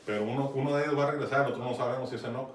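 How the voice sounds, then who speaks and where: A man's voice: mid-range voice, steady tone, racing. A man with a Mexican accent preaching a conversational Bible sermon, often checking in with his listeners. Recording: echoey room, from far off, faint noise.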